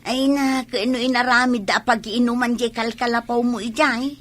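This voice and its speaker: A woman's voice: on the high side, steady tone, normal speed, a bit bright. A woman with a Filipino accent playing a placating village wife who reasons and coaxes in a comedy radio drama.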